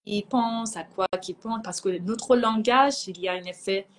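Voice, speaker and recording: A woman from Iran whose first language is Persian, a psychologist chatting as a guest in a calm, reflective way and often pausing to find her words. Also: medium pitch, a little hiss or hum. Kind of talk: casual conversation.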